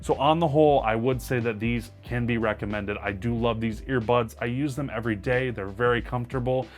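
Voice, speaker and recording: A man's voice: mid-range voice, a little fast, faint music behind. A man with a powerful voice giving an upbeat, emphatic product review to camera. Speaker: tech reviewer.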